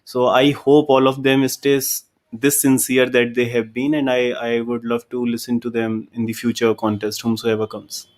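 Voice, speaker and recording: Man with Indian English accent, judge on an online poetry show, halting casual speech over a video call. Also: medium pitch, level tone. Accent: Indian English, Hindi-influenced. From Chambal region, India. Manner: hesitant chat with fillers and restarts. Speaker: judge and poet. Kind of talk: live online poetry event.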